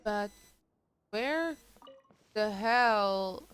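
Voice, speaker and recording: Casual woman streamer, medium pitch. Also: expressive, very slow, fairly bright tone, smooth. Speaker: game streamer. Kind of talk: casual live reaction commentary.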